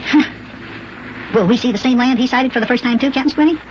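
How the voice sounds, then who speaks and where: Squeaky sped-up little boy elf, curious and chirpy, muffled old radio show. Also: fast talker, background noise. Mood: curious, amazed. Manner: chirpy, curious, wonderstruck chatter. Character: eager, wide-eyed little boy elf. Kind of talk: old-time children's radio acting.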